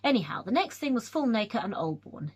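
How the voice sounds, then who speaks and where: Brief: woman doing a breathless young academic recounting a scare, higher-pitched and animated, husky. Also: fairly quick. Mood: eager. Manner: lively, excited storytelling with hesitations.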